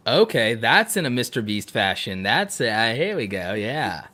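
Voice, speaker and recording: A man's voice: medium pitch, big pitch swings, moderate pace, pushed and bright. Guy from north carolina on a podcast, casual chat, explains stuff, repeats himself. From North Carolina, United States. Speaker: podcast co-host.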